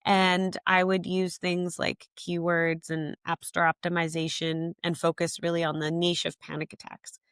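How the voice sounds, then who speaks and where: This young woman talks things through in a reflective, unhurried way, leaning on "like" and little quoted asides from her own head. Her voice sits a bit low for a woman. The sound is close and dry, with no room around it. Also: completely flat delivery, fairly bright tone, smooth, no echo. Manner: thoughtful, frequent "like" fillers, quotes her own thoughts. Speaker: app founder, guest. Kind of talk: reflective interview answers.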